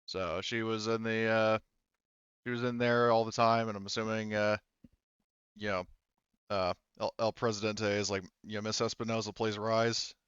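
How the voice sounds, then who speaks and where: A man's voice: on the low side, level tone, normal speed, a bit bright, clean tone, no room sound, muffled recording. A man running a tabletop game as game master, narrating off the cuff and thinking aloud in a conversational way. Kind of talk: improvised tabletop game narration and casual chat.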